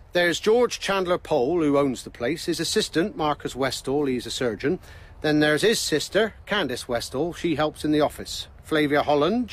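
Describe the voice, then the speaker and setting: An actor playing a deferential local chief inspector in a radio drama, matter-of-fact and clipped. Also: male voice, a little high, natural voice.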